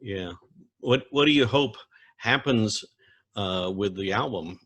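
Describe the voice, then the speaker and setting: An older man hosting a casual interview, chatty and quick with short affirmations. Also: lowish voice, fairly bright tone. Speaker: interview host.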